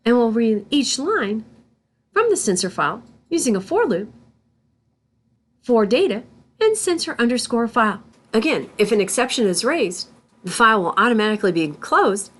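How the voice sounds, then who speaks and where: A woman narrating a scripted tutorial as an instructor, in a deliberate, instructional manner. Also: fairly high pitch, big pitch swings. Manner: deliberate, instructional, spells out terms letter by letter. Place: voice-over for a screen recording.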